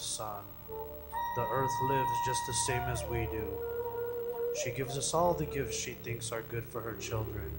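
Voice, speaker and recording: A man's voice: medium pitch, natural voice, poor audio. A man playing a solemn dead friend with a bearded patriarch's dry, matter-of-fact, grave voice in a radio play. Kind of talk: stage radio drama acting. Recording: loud music over the voice.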